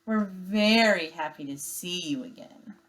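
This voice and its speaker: A woman's voice: on the low side, unhurried, fairly bright tone, low-quality recording. A woman voicing a sarcastic, bossy young bear sister in a storybook, with a mocking, snappish manner.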